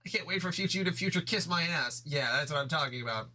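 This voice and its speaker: A man voicing an angry, foul-mouthed young male troll who yells crude insults. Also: fairly high pitch, expressive, fast talker, voice pushed up, exaggerated voice. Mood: gloating.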